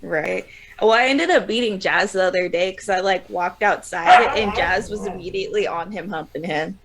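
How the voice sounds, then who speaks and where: A woman's voice: lowish voice, moderate pace. A young woman co-hosting a casual podcast chat, blunt and teasing, often laughing. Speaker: podcast co-host.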